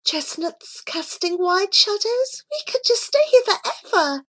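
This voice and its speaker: A woman voicing a small female animal companion in a storybook, marvelling in hushed, breathy wonder. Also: extremely high pitch, expressive, measured pace, voice pushed up. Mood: rapt, marvelling.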